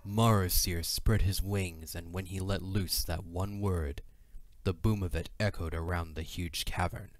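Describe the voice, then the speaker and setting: A man's voice: low voice, steady tone, a little slow. A man narrating a scripted, dramatic fantasy story as the narrator of an audio drama. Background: music.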